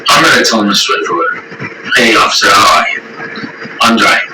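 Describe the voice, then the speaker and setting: A man's voice: fairly low pitch, fairly quick. Middle-aged man, candid rambling testimony, distant mic. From Ecuador. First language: Spanish. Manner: rambling, repeats words, swears. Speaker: interviewee sharing recovery story. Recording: echoey room from far off, heavy noise.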